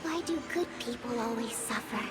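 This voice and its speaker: An actress in a video game playing a dead little sister, an eerily sweet, childlike and plaintive apparition who can turn reproachful. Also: female voice, a little high, normal speed, lightly acted. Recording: game audio under loud music.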